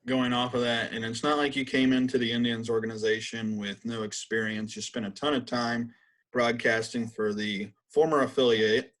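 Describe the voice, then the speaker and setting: A man's voice: medium pitch, flat delivery, normal speed, a bit bright. A man co-hosting a podcast, putting questions to a guest in a conversational interview style. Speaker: podcast co-host.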